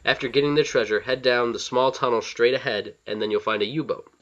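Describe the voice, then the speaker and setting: A man's voice: mid-range voice, fairly even intonation, fairly quick, a bit bright. A man giving step-by-step instructions as the narrator of a video game walkthrough. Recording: slightly dull, lo-fi voice-over.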